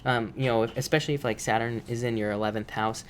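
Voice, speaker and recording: A man with a voice made rough by allergies, reading tarot cards on a live stream in a casual, repetitive way with many fillers. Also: medium pitch, fairly even intonation, quick pace, slight background noise. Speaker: tarot reader.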